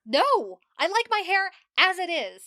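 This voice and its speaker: A woman playing an awkward, caring young woman with a halting, flustered way of talking in a comedic audio drama. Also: extremely high pitch, big pitch swings, bright, forceful tone, no room sound, clean studio sound, heavily acted. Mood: protesting.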